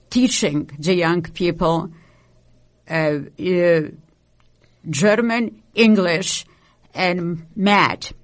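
An elderly woman from Poland, a native Yiddish speaker with a Polish Yiddish accent, giving personal testimony in deliberate, halting phrases. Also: on the low side. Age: 70s or older. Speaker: Holocaust survivor giving testimony.

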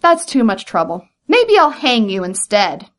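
A woman's voice: on the high side, big pitch swings, measured pace. A woman voicing a sly, scheming male fox who gloats with a mocking drawl. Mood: gloating.

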